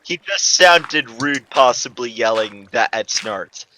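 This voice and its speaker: A man's voice: medium pitch, lively intonation, takes it slowly, bright, forceful tone, slight background noise, poor audio. A man giving a comedic dramatic reading of fan fiction as narrator, stumbling and correcting himself.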